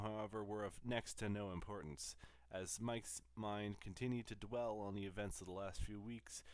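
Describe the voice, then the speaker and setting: A man's voice: lowish voice, darkish tone, no room sound. A man narrating an audio drama from a script, in the role of storyteller.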